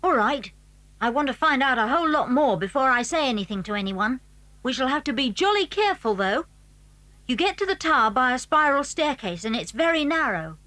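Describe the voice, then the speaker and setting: A woman's voice: high-pitched, big pitch swings, brisk, sharp tone, no room sound, exaggerated voice, rough recording. A British woman playing an eager, cautious schoolboy in a children's audio drama. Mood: conspiratorial, eager. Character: eager, cautious schoolboy who leads his friends.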